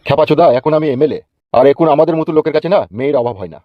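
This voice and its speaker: A man's voice: a little high, lively intonation, rapid-fire, dry recording, muffled recording, lightly acted. A man voicing an arrogant, short-tempered village politician in a comic cartoon, blunt and scolding, with a West Bengal accent. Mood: boastful. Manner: self-important, scolding, blunt.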